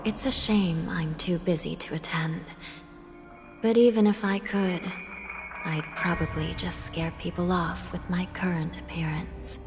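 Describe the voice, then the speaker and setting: A woman voicing a stern young female judge of the underworld in a video game, speaking formally and in measured tones, sometimes sinking into a soft, breathy near-whisper. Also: fairly low pitch, steady tone. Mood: wistful. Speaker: game character. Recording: studio voice over music in long reverb.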